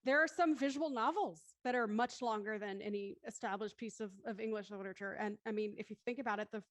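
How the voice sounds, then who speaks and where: A woman's voice: mid-range voice, fast talker, no echo. A woman hosting a casual live online discussion, talking in an enthusiastic, wordy way as she thinks aloud. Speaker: host of a live web show after-talk. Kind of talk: casual chat, moderating a discussion.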